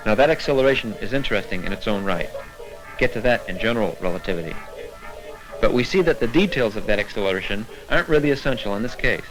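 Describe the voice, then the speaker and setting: A man's voice: medium pitch, low-quality recording. A man narrating a scripted science explainer for a 1970s educational film, with music behind him. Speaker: narrator of educational animated short.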